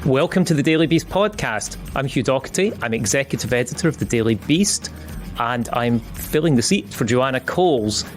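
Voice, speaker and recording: A man's voice: mid-range voice, expressive, moderate pace, music in the background. A man with a Scottish accent hosting a podcast interview, chatty and joking, with some repeated false starts. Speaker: podcast host.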